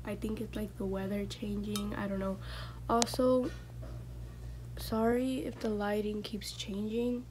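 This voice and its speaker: A woman's voice: mid-range voice, flat delivery, measured pace, background noise. A young woman vlogging casually to a phone camera from her car, stuffy-nosed, chatting with lots of fillers. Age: young adult. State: stuffy nose. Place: inside a parked car. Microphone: phone camera on dashboard.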